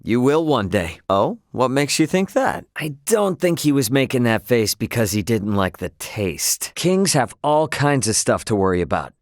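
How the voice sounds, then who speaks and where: A man's voice: mid-range voice, sing-song, moderate pace, hardly any pauses, no echo, studio quality. An actor playing an easygoing, cocky young male starship pilot and swordsman in a video game, with breezy, slangy, informal delivery. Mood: easygoing, friendly.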